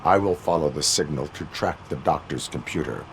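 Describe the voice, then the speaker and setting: An actor playing a stoic male cyborg police officer, speaking in flat, clipped, formal lines in a video game cutscene. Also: rumbling low, moderate pace, slight background noise. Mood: stern.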